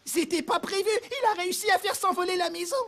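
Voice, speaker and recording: Very high-pitched plucky little cartoon pig. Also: female voice, quick pace, creaky, very noisy. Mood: alarmed. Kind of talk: animated children's cartoon acting. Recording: music behind the voice.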